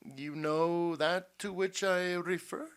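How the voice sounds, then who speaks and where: A man voicing an imperious, menacing general who interrogates in formal, commanding speech and is quick to anger, in an audiobook story. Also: high-pitched, steady tone, smooth, pitched above the performer's own voice. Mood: menacing, coaxing.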